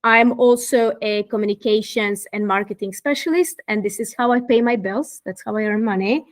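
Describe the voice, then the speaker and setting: A woman's voice: a little high, normal speed. Thoughtful woman from Croatia. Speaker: guest, fashion activist. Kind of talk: interview conversation.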